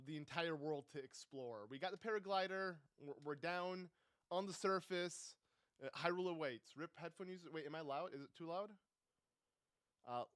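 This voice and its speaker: A man's voice: fairly high pitch, fairly bright tone, clear, resonant tone, no room sound, clean studio sound. A young man casually commenting on a live game stream, rambling and correcting himself with little chuckles into a desk microphone.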